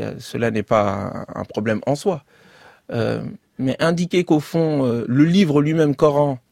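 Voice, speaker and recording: A man speaking as an author and guest in an interview, thinking aloud in measured talk with many fillers and restarts, recorded close to the mic. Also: mid-range voice, darkish tone, no echo. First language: French.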